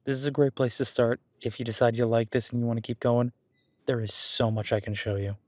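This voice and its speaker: A man's voice: mid-range voice, little pitch movement, brisk, a little mellow, dry recording, natural voice. A man playing a friendly, easygoing werewolf boyfriend who coaches his date at the gym in a casual, encouraging, rambling roleplay audio. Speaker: gym partner giving workout guidance. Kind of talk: roleplay audio drama, one-sided casual conversation.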